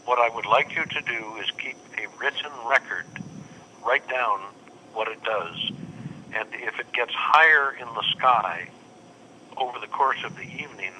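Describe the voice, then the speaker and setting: A man's voice: medium pitch, pushed and bright, slight background noise. A man answering a UFO reporting hotline on a phone call, patient and formal, often saying "sir" and "please".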